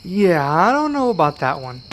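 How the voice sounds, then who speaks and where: A man's voice: a little high, very animated, moderate pace, a bit bright, slight background noise. A man playing an earnest young werewolf learning magic in an ASMR roleplay, speaking to one listener with some hesitation. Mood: sheepish.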